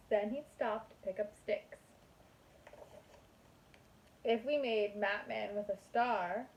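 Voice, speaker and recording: A woman's voice: a little high, a little mellow, low-quality recording. A woman kindergarten teacher reading a picture book aloud to young children in a sing-song manner.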